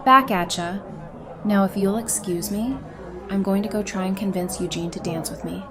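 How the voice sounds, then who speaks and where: Audio drama role: woman plays playful, self-assured young woman, teasing delivery, mid pitch, over a busy party. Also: moderate pace, natural voice. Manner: teasing, wry. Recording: music and party chatter behind. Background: music and crowd chatter.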